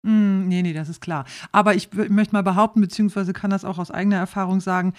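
A woman's voice: mid-range voice, fairly even intonation, fast. A woman in her forties interviewing a guest, engaged and friendly, often restarting her sentences. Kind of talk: conversational interview.

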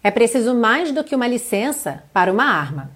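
Brief: woman from Brazil, English teacher, high-ish voice, careful slow reading of lines. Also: sing-song, husky. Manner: reads English lyrics slowly and carefully, then translates. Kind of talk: online language lesson.

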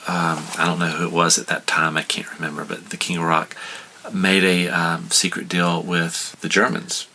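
A man, telling history in a relaxed chat, with hesitant restarts and chuckles at his own jokes. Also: low-pitched, moderate pace, vocal fry, a little hiss or hum. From United States. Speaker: podcast guest explaining history. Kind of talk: casual storytelling chat.

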